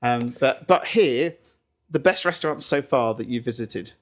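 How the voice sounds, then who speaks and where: A man's voice: medium pitch, normal speed. A man hosting a podcast, chatting casually and stumbling a little as he words his questions. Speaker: podcast host. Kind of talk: casual interview chat.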